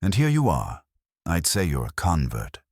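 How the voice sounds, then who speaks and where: Man playing a slick, smug provocateur, lively intonation. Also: low-pitched, moderate pace, no echo, studio quality, heavily acted. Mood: defiant, smug. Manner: glib, defiant.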